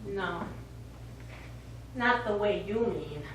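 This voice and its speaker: An actress on stage playing a middle-aged Italian war survivor, bitter and wounded, speaking deliberately and accusingly. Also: female voice, medium pitch, expressive. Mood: bitter, pained. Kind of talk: stage play acting. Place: small theatre stage. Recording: echoey theatre stage, distant mic, faint noise.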